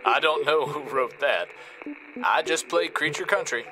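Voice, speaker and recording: Man playing a slow-talking modest country singer, static. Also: fairly high pitch, close to an everyday voice. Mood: humble. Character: modest male creature-country singer being interviewed. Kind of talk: audio drama interview clip. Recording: radio static and noisy ambience.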